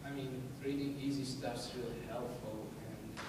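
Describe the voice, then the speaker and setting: Young man from Iraq, Arabic first language, halting talk to a crowd, heard from a distance in a booming hall with noise behind. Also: steady tone. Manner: hesitant, many restarts, laughs easily. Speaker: conference speaker. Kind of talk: casual informal talk to an audience. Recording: echoey hall from far off, noisy.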